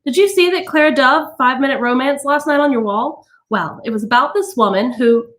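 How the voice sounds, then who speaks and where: An excitable, shallow storybook housewife, played by a woman narrator. She gushes eagerly in a raised, high voice. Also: a little fast. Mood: chatty, eager. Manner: gushing, eager. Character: excitable, shallow housewife Mildred, addicted to wall television.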